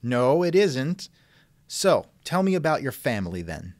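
A man's voice: mid-range voice, very animated, measured pace, a little mellow, no echo, clean studio sound. A man hosting a conversational interview, asking questions in a wry, skeptical manner. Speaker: interviewer, host. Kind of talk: reenacted text-chat interview, conversational.

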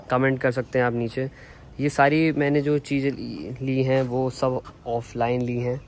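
A young man with an Indian accent walks viewers through his home gym setup in an easy, chatty way. His voice sits at a middle pitch and stays fairly even. A faint outdoor ambience comes from the rooftop. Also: slight background noise. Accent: Indian English. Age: young adult. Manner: chatty, mixing Hindi and English. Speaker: fitness YouTuber. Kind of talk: casual home gym tour. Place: sunny rooftop terrace.

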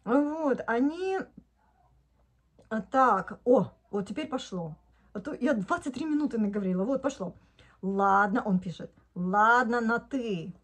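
A woman in her fifties, originally from Odesa, vents in a chatty home video diary. Her voice sits a little high. She is recorded indoors in a living room on a phone held close. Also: expressive, a little slow. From Odesa, Ukraine. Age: 50s. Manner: chatty and indignant, bursts into laughter. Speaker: vlogger. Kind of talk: casual video diary monologue. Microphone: phone camera at arm's length.

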